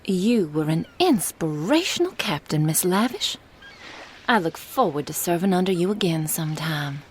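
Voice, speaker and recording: A woman's voice: fairly low pitch, expressive, moderate pace, slight background noise, close to an everyday voice. A woman voicing a fawning, pompous publicist sidekick with flowery, teasing speech in an audio drama. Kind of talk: ASMR audio drama acting.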